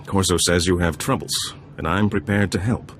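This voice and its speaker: Suave, low-voiced older gambler. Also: male voice, fairly even intonation, normal speed, a bit bright, slight background noise. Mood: confiding. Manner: smooth-talking, self-satisfied, theatrical. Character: suave older male gambler and information broker. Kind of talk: video game dialogue acting.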